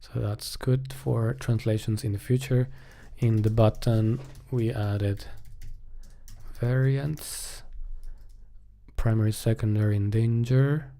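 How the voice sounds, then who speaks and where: A man's voice: lowish voice, steady tone, slow pace, warm tone. A man giving casual live-coding commentary, thinking aloud in a hesitant way as he works.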